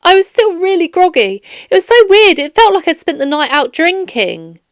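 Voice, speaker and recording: A woman's voice: very high-pitched, big pitch swings, moderate pace, no room sound, clean studio sound, pitched above the performer's own voice, heavily acted. A woman voicing a flustered, defensive male security guard in a children's audiobook, pleading and protesting emphatically. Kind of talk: children's audiobook character dialogue.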